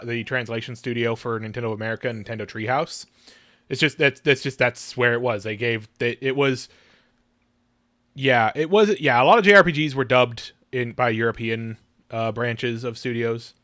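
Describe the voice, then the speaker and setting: A man livestreaming a video game, chatting casually in a halting, rambling way with many false starts. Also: mid-range voice, fairly bright tone, no room sound.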